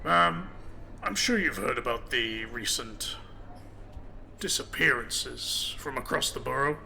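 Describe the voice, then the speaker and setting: A man's voice: mid-range voice, expressive, slow pace, creaky, pushed and bright, full character voice. A man playing a courteous but probing marshal in an audio drama, speaking formally. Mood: grave.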